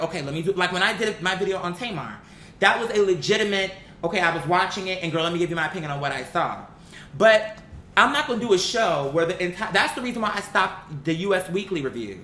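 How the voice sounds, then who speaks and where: A vlogger giving casual, opinionated commentary in a blunt, slangy and emphatic way. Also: fairly bright tone. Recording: roomy echo, faint rumbling background noise.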